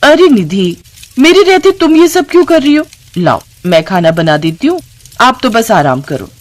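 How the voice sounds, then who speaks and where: A woman's voice: low-pitched, big pitch swings, moderate pace. A woman voicing a greedy, nagging, scheming village wife who can turn wheedling, in a narrated story. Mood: sweetly wheedling. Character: sharp-tongued, greedy, scheming village wife and sister-in-law. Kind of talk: acted dialogue in a narrated story.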